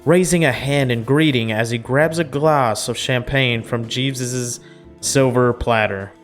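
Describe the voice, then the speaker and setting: A man's voice: medium pitch, a little slow. A young man reading a visual novel aloud and reacting to it with informal, slangy commentary. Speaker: gaming video host. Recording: music playing behind.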